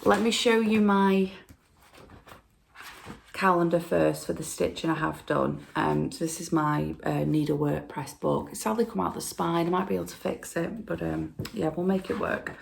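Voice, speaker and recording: Hoarse, gravelly low-voiced woman. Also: fairly even intonation, moderate pace, slight background noise. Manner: chatty and rambling, lots of ums. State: hoarse, getting a cold. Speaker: flosstube host. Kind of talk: casual chat to camera.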